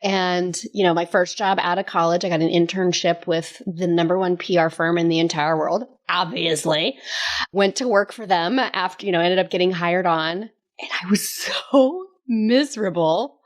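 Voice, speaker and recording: Lower-voiced woman in her forties, casual storyteller. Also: fairly even intonation, moderate pace. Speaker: podcast host and life coach.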